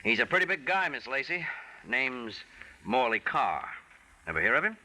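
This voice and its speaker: Slick, bright-voiced radio schemer. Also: male voice, medium pitch, big pitch swings, normal speed. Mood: sly, pressing. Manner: sly, smooth-talking, hesitating coolly. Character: smooth scheming con man posing as private detective. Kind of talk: 1940s radio mystery acting. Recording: noisy vintage 1940s radio recording.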